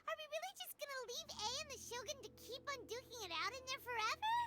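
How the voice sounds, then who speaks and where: A woman voicing a tiny, excitable female companion sprite who talks about herself in the third person and chatters like a child. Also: extremely high pitch, fast talker, heavily acted. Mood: fretful. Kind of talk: game dialogue. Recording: game scene with loud music. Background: loud music.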